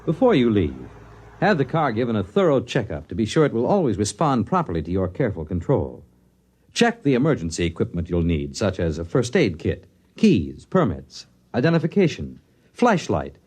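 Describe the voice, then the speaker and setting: A man speaking as a radio announcer in a measured, formal style, reading a public service message. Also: fairly low pitch, a little mellow, slightly rough.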